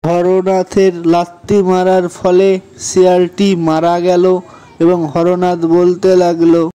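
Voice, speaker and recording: A man's voice: high-pitched, monotone, slow, dark tone, smooth. A man narrating a scripted children's story as the storyteller.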